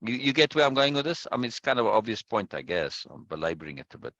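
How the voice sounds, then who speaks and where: A man leading a casual chat over a video call on a close boom mic, explaining his point at length and often checking "right?" or "yes?". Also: big pitch swings, quick pace, no echo, muffled recording. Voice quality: loud. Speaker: discussion leader.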